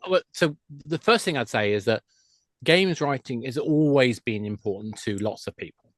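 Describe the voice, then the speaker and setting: A man's voice: medium pitch, expressive, moderate pace, fairly bright tone, no echo. Talkative man explaining casually, dry close sound. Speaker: guest, games industry expert. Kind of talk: podcast discussion, casual explaining.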